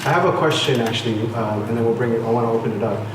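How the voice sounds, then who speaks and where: A man moderating a panel, asking long questions with thoughtful, halting phrasing and many fillers. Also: mid-range voice. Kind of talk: panel discussion. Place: lecture room on stage. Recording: very echoey room, distant mic, noisy.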